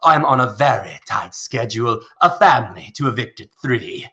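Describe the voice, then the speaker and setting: Mean rich old man, played by a male actor, imperious, sneering, radio drama villain, forceful and animated. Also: medium pitch, moderate pace, dull audio. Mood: cold contempt. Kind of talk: radio play acting.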